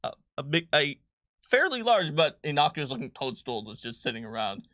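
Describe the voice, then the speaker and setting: A man's voice: fairly high pitch, very animated, normal speed, sharp tone, no echo, clean studio sound. A man hosting a livestream in casual chat, talking playfully as he thinks aloud through building a tabletop game character.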